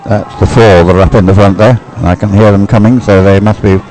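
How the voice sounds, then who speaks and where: Low mellow guy commentating a race, kinda hesitant and rambly. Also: fairly even intonation, fairly quick, faint music behind, poor audio. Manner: rambling, hesitant, informal explaining. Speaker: sports commentator.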